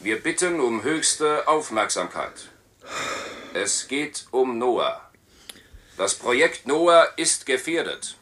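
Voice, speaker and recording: An actor playing a solemn, urgent propaganda announcer of a space city, declaiming a broadcast appeal in a 1970s German radio play. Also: male voice, medium pitch, very animated, takes it slowly, a bit bright.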